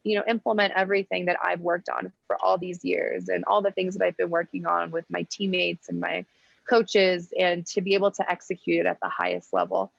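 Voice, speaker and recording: A woman in her twenties answers interview questions at a careful, thoughtful pace, pausing often to collect her words. Her voice carries a strong gravelly fry and sits a little low. The audio is dry and dull, like a lo-fi call recording. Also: flat delivery, no echo. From United States. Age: 20s. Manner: measured, thoughtful answers with frequent "um". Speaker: guest athlete. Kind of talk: podcast interview.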